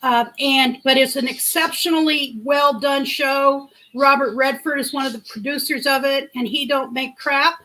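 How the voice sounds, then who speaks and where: Bright, high-voiced woman chatting live. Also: fairly even intonation, moderate pace, few pauses, rough recording. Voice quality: big voice. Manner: chatty, rambling, answers chat comments. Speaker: livestream host. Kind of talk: casual live-chat storytelling.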